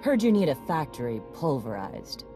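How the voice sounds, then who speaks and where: Gruff warrior chief lady, blunt, battle-weary, game scene with music behind. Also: low voice, big pitch swings, moderate pace. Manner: terse, gruff. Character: tough, blunt female Mandalorian war leader, battle-weary. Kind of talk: scripted game cutscene dialogue.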